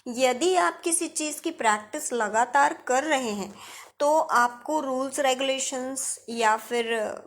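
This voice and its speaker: A woman with an Indian accent giving an instructional grammar lesson, explaining step by step and repeating key points. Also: on the high side. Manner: didactic, explains step by step with repetition. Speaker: English grammar teacher.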